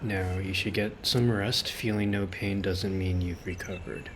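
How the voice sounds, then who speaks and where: A man's voice: low voice, fairly even intonation, measured pace, noisy background. A man reading a video game's story aloud as a streamer, with casual asides. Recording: loud game music behind the voice. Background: loud game music.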